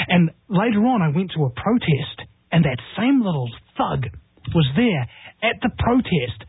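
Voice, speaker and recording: Husky, combative man on a phone line. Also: high-pitched. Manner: combative, rousing, rhetorical. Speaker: radio show host appearing as guest. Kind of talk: impassioned talk radio conversation. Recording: tinny phone line, dry.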